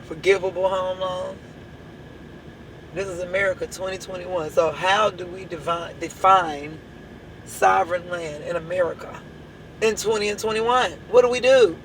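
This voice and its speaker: Hoarse woman preaching. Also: lowish voice, steady tone, normal speed, slight background noise, poor audio. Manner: emphatic, repeats rhetorical questions. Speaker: church leader. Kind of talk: impassioned church address.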